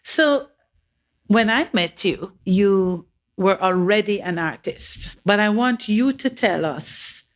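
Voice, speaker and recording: A woman's voice: medium pitch, animated, takes it slowly. An older woman hosting an arts podcast, reading a scripted introduction and then interviewing a guest with encouraging questions. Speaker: podcast host, curator.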